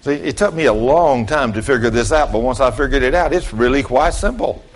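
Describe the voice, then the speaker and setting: A man's voice: medium pitch, a little fast. An older man, near seventy, preaches with a mid-range voice. He is folksy and plain-spoken, telling stories in an informal sermon and addressing his listeners directly. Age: late 60s.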